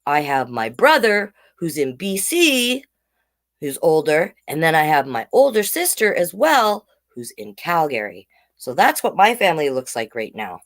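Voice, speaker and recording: A woman's voice: low voice, very animated, unhurried. A woman hosting a children's storytime, reading a picture book aloud with chatty, simply worded asides to young listeners. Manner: simple, child-directed explaining with tag questions. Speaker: children's storytime host and reader.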